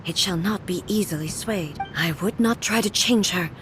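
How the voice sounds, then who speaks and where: A woman playing a solemn, regal immortal warrior ruler in a video game, speaking formally, gravely and deliberately. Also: mid-range voice, over music, close to an everyday voice. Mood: grave, explanatory. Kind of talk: video game character acting.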